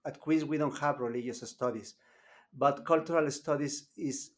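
A man's voice: medium pitch, fairly even intonation, moderate pace. A man, a graduate student guest, speaking hesitantly and often repeating words as he searches for phrasing. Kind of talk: conversational interview.

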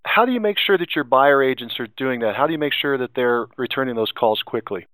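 A man's voice: mid-range voice, lively intonation, fairly quick, bright, forceful tone, no echo. A man hosting a podcast interview, asking probing follow-up questions.

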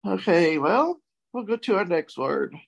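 Female quiz host, unhurried and slightly low in pitch, chatting casually as she spells out words. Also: sing-song, vocal fry. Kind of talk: casual word game chat.

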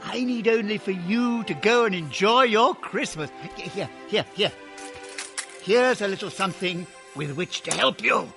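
An actor playing an elderly English former miser, giddy and overjoyed, in an excitable, stammering, theatrical radio drama style. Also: male voice, high-pitched, measured pace, creaky, faint music behind, full character voice. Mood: eager, insistent. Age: older.

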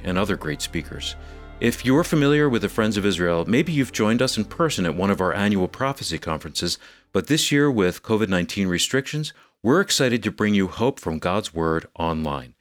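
A man co-hosting a program, reading a scripted promotional announcement. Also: lowish voice. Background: music bed.

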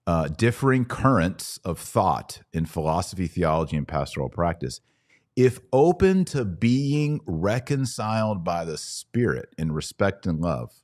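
A man's voice: low voice, big pitch swings, measured pace, a little mellow. A man hosting a podcast, giving conversational religious commentary in an emphatic, argumentative way with rhetorical questions. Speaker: podcast host.

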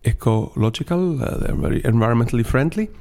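A man with an Icelandic accent talking casually as a podcast guest, often pausing to search for words. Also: mid-range voice, lively intonation, gravelly, warm tone. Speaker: singer-songwriter and storyteller, podcast guest.

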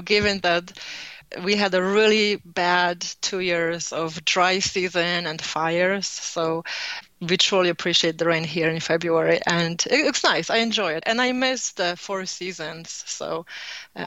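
Polish-accented woman, 30s, bright clean voice, friendly guest, dry close sound. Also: on the low side, no room sound, lo-fi sound. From Poland. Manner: warm and chatty, with many "you know" fillers and frequent laughs. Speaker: podcast guest, speech pathologist and software founder. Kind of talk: casual interview answers. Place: home.

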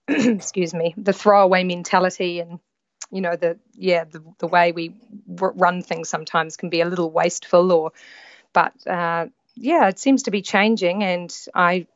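A woman's voice: lowish voice, fairly even intonation, normal speed, no room sound. A young woman with a New Zealand accent, a guest speaking easygoingly with some hesitation over a call. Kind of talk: remote interview over a call. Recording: dry, slightly dull call audio.

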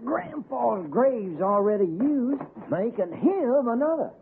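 An actor playing a simple, lovesick young hillbilly with an Appalachian accent, halting and eerily gentle, in a radio drama. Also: male voice, very high voice, big pitch swings, gravelly, heavily acted. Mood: eerily calm. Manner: slow, halting, folksy. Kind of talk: 1960s radio suspense acting. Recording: tinny, noisy 1960s radio recording.

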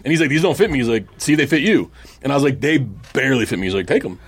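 A man's voice: medium pitch, expressive, racing, breathy edge. A man chatting casually and informally about sneakers, with slangy wording. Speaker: podcast co-host.

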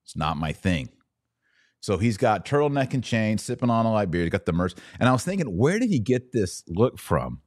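Male American podcast commentator, lower register, informal and mocking, opinionated delivery in a dry studio sound. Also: sing-song, normal speed, darkish tone, no room sound. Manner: informal, slangy, mocking, incredulous. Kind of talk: opinionated religious commentary to an audience.

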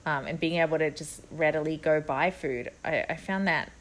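A woman with a low-pitched voice chats casually as a podcast co-host. Ambient noise is audible behind her conversational delivery. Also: normal speed. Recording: clear background ambient noise.

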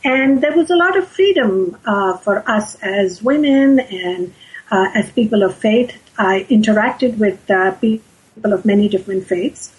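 A woman in her sixties from Pakistan, an author and activist answering interview questions in a measured, thoughtful way with frequent pauses. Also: medium pitch, a little mellow, slightly rough, rough recording.

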